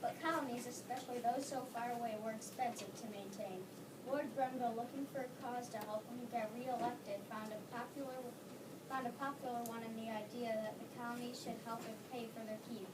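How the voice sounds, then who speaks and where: A woman with a fairly high voice reads narration for a classroom-style history play, explaining calmly. She is picked up from afar in an echoey space with loud noise behind her. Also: brisk. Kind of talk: readers theater narration. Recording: echoey room, distant mic, loud noise.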